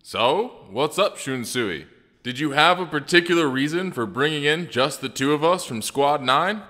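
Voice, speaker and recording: A man's voice: fairly high pitch, expressive, normal speed, pushed and bright. A man voicing a blunt, brash squad captain in an anime fan dub, brusque and informal. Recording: long hall reverb.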